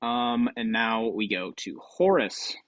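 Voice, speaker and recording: A man's voice: on the low side, animated, slow, a bit bright, no echo. A man hosting a game show with casual, improvised commentary in a rambling, offhand way.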